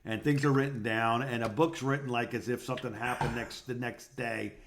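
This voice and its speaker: A man co-hosting a podcast in casual conversation, telling things in an animated way and often repeating himself. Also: medium pitch, a little fast, creaky, slight background noise. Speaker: podcast co-host.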